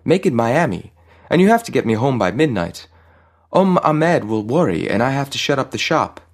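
A man's voice: medium pitch, very animated, normal speed, voice pushed up. Man acting a flustered, protesting shopkeeper. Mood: indignant protest. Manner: pleading, exasperated, complaining. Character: flustered, protesting, anxious middle-aged shopkeeper husband. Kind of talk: audio short story character reading.

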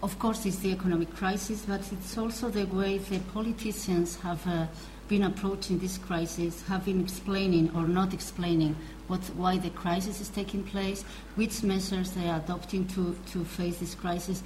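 A woman's voice: fairly low pitch, level tone, normal speed, few pauses, darkish tone, a little hiss or hum, low-quality recording. A woman journalist from Spain on a conference panel, earnest and searching for words.

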